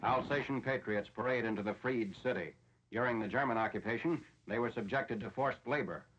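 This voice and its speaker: A man's voice: medium pitch, a little fast, fairly bright tone, a little hiss or hum. Dull vintage recording of an American newsreel man. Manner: formal, matter-of-fact reporting. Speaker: military newsreel narrator. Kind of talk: 1940s newsreel scripted narration. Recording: dull, lo-fi 1940s film soundtrack.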